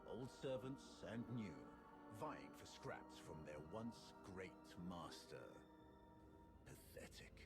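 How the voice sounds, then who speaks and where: Deep, grim sci-fi warrior. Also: male voice, sing-song, takes it slowly. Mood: grim, scornful. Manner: solemn, formal, menacing. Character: grim, ruthless male sci-fi warrior, solemn and commanding. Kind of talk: video game cutscene acting. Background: music.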